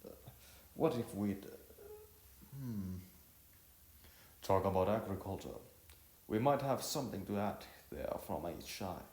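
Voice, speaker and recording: A man's voice: lowish voice, expressive, measured pace, pauses often, a little mellow. A man voicing a friendly, playful young woman with a coaxing, hesitant manner in a storybook. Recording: roomy echo with music behind.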